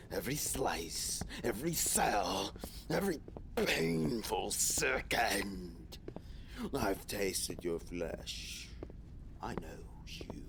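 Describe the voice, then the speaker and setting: A sadistic monster from a horror audio play, whispery and taunting. The words crawl out very slowly in a gravelly rasp. There is clear background noise behind the menace. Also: male voice, lowish voice, heavily acted. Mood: menacing. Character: sadistic menacing male nightmare monster who tasted flesh. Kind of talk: horror audio drama acting.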